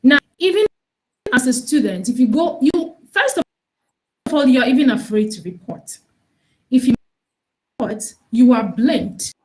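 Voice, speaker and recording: A woman campaign lead answers questions in a studio interview. She speaks earnestly, stopping and restarting now and then. Her voice sits a little high. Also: animated, a little slow, pauses often, darkish tone, poor audio.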